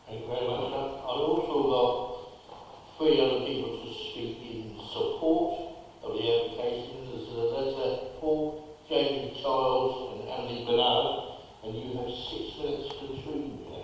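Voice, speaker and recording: A man's voice: mid-range voice, steady tone, slight background noise. Polite male chair in an echoey chamber. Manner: brisk, polite procedural. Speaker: committee chair. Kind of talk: formal meeting chairing. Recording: echoey council chamber, distant mic.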